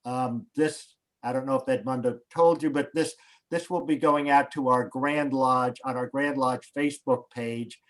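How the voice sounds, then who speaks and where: A man speaking over a video call as a host, guiding a guest through setup before a broadcast. His talk is halting, full of restarts and small asides. His pitch is mid-range for a man. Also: steady tone. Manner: hesitant, restarts, coaching tone. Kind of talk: casual pre-show video call chat.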